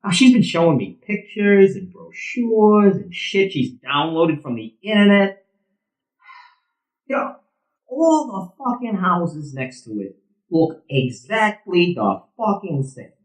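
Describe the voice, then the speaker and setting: Volatile, animated middle-aged hothead in echoey room. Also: male voice, high-pitched, slow, exaggerated voice. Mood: grumbling, conversational. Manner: combative, profane, sarcastic. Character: Eddie, hot-headed, beer-drinking middle-aged softball player. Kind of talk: naturalistic audio drama acting. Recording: roomy, echoing sound.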